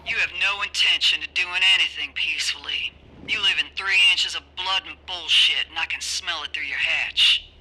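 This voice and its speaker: An actress playing a tough, war-scarred mech pilot in a video game, blunt and commanding. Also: female voice, low-pitched, normal speed, pushed and bright, slight background noise, low-quality recording. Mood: defiant, contemptuous. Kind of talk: video game cutscene acting.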